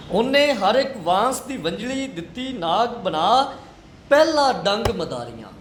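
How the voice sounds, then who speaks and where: In an echoey room with clear background noise, a man recites poetry. His delivery is slow, dramatic and declamatory, leaning hard on the emphasis of each line. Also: a little high. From Pakistan. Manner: declamatory, repeats lines with rising and falling emphasis.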